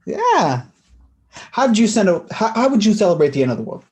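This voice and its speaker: A woman's voice: medium pitch, wildly swooping pitch, brisk, darkish tone. A woman acting a mild, wistful, evasive young man in a staged play reading, in a casual, hesitant way. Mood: musing.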